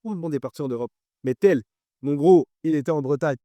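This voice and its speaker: A man's voice: a little high, brisk, mellow, dry recording. A man in his twenties with a French accent, a guest artist talking casually in an interview and repeating phrases for emphasis.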